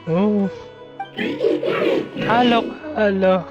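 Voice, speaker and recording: A small, friendly video game robot. The voice is dull and muffled, halting and repetitive, yet swoops up and down over music. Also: female voice, mid-range voice, wildly swooping pitch, gravelly, full character voice. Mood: friendly, curious. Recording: muffled, loud background music.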